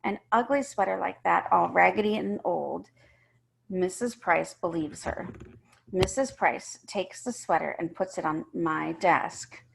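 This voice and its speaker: A woman's voice: lowish voice, a little slow, vocal fry, slight background noise, low-quality recording. A woman reading a first-person children's story aloud, emphatically and with acted-out feeling. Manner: emphatic, acts out the characters.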